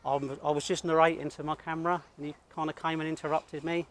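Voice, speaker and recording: Higher-pitched, blunt British man. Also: little pitch movement, fast. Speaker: independent freelance videographer. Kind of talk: narrating to camera and casual conversation. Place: outdoors at a college car park.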